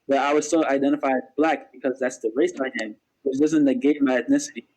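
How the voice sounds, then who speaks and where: A young man on a podcast, making his point in a quick, assertive, matter-of-fact way. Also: mid-range voice, steady tone, a little mellow, poor audio. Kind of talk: casual discussion.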